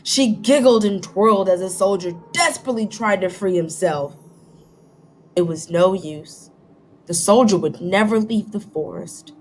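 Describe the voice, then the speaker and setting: A woman's voice: medium pitch, measured pace, darkish tone, a little hiss or hum. A woman reading aloud to children like a teacher, dramatic and inquisitive, stopping to put questions to young listeners.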